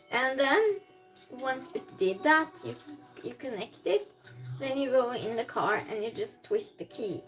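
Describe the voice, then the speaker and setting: A woman with a fairly high voice talks casually as a live streamer, with music playing behind her. English is not her first language. She rambles in an informal way, chatting to viewers while busy at home. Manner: rambling, reads viewer comments aloud and replies. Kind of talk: casual livestream chat while cooking. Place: indoors at home while cooking.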